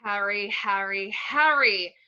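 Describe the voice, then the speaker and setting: A woman playing a vain, cowardly male wizard professor in a script read, pompous, halting and blustering. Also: mid-range voice, bright, forceful tone, clean tone, dull audio. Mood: smug. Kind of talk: amateur table read of a film script.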